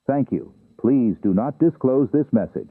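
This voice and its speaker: A man playing a grave government official, giving an earnest, measured scripted briefing. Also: medium pitch, animated, dry recording. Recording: tinny, band-limited radio message.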